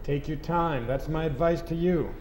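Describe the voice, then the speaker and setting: A reader doing a calm fatherly coach type. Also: mid-range voice, moderate pace, natural voice. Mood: easygoing. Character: fatherly, knowing boyfriend. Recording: roomy, from off the mic at a live reading.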